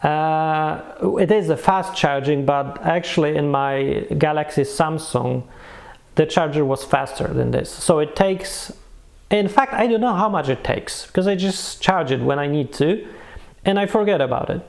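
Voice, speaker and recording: Higher-voiced man, gravelly, casual product reviewer. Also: unhurried. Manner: animated, emphatic, repeats words for effect. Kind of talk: casual unscripted review to camera.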